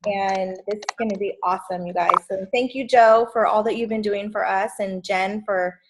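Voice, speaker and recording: A woman's voice: mid-range voice, fairly even intonation, moderate pace, heavy background noise. A woman speaking casually as a team member on a group video call, giving appreciative remarks.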